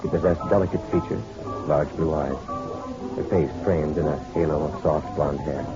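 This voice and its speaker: An actor playing a suave, hard-boiled private detective in a radio drama, measured and courteous with a dry edge. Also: male voice, very deep voice, steady tone. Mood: reflective narration. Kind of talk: noir radio drama acting and narration. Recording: dull radio-drama recording, music behind.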